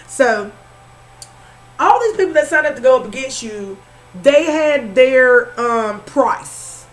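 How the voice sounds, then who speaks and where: A woman's voice: a little high, a little hiss or hum, poor audio. A woman with a Southern accent doing an informal tarot reading, repeating herself and calling the listener honey. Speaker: spiritual reader.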